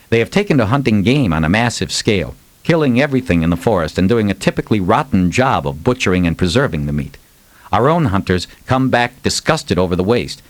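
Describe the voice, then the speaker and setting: An audiobook reader voicing an indignant small-town mayor in a formal, scornful letter. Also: male voice, fairly low pitch, no echo, lightly acted. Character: exasperated, indignant small-town mayor writing a plea for help.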